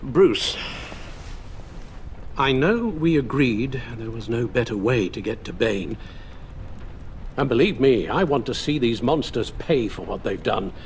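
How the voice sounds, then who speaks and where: A loyal older British butler in a video game. He speaks formally and with fatherly concern, his medium-pitched voice rising and falling widely over audible background noise. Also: expressive, measured pace, long pauses. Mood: weary.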